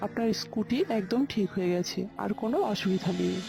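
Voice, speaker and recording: A woman's voice: medium pitch, fairly even intonation, fairly quick, vocal fry, natural voice. A woman voicing a kind, earnest young man in love in a scripted cartoon. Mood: helpful, modest. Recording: loud background music. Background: music.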